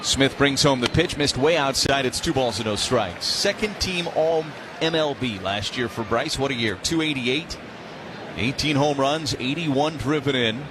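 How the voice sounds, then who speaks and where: Male baseball announcer over clear background noise. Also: mid-range voice, measured pace. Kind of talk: live radio sports commentary. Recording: steady ballpark noise behind voice. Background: outdoor stadium ambience.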